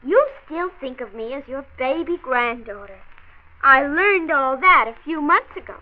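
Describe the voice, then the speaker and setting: A woman's voice: high voice, lively intonation, measured pace, narrow, tinny audio. A woman playing a precocious twelve-year-old granddaughter in a 1940s radio drama, pert and argumentative, reciting facts formally like a debater. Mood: confident, reciting. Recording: band-limited old 1940s radio recording.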